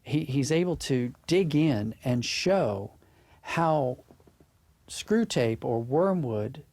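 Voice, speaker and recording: A man's voice: mid-range voice, expressive, slow pace, darkish tone, no room sound. A man from a drama production team giving explanatory interview commentary.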